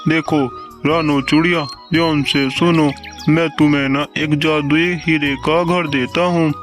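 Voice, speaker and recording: High-pitched, slow-talking kindly cartoon animal man. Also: level tone. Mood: generous, instructive. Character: kindly, helpful male animal in a children's fable. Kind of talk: Hindi children's cartoon storybook dialogue. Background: loud music.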